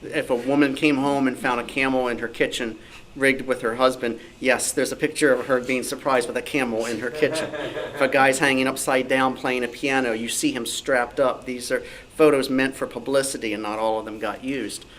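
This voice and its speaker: Male researcher-author, mid-pitched, anecdotal panel talk, noisy live room. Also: steady tone, a little fast, a bit bright. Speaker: author and researcher of old-time radio, panel guest. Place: club meeting room.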